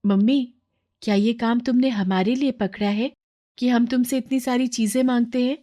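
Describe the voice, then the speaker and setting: A woman's voice: fairly high pitch, steady tone, normal speed, dark tone, voice pushed up, lightly acted. A woman voicing a sweet, pleading little girl who loves food, in a childlike manner for a story. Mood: worried.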